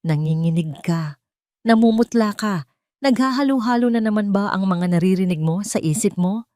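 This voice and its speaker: A woman plays a menacingly calm husband in a melodramatic audio story. He talks down to his wife in a hard, cruel way, recorded close and dry. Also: medium pitch, moderate pace, no room sound, natural voice. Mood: condescending, disappointed. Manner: hard, condescending.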